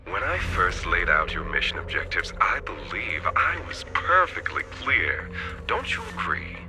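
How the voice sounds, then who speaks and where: Villainous handler, deep voice, measured and formal, icy politeness, condescending, expressive pitch, video game drama. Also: male voice, heavily acted. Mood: cold, sardonic. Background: music.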